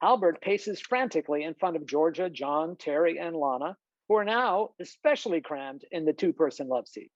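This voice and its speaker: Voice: medium pitch, lively intonation, moderate pace, no echo. A narrator reads screenplay scene headings and stage directions as scripted narration over a video call. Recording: slightly dull video-call audio.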